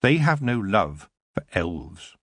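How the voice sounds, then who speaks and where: A man reading an audiobook, voicing a firm young witch girl who speaks with measured authority. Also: on the low side, very animated, vocal fry, a bit bright, no room sound, clean studio sound, heavily acted. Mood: commanding.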